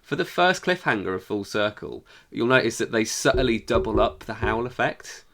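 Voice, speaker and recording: A man with a fairly low, bright voice co-hosts a podcast. He speaks in a casual, informal, conversational way. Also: expressive, normal speed. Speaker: podcast co-host. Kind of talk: casual chat.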